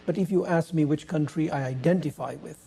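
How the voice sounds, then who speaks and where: College lecturer, earnest storyteller, mellow dark tone, mid pitch. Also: brisk, breathy edge. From Trinidad. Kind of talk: panel discussion.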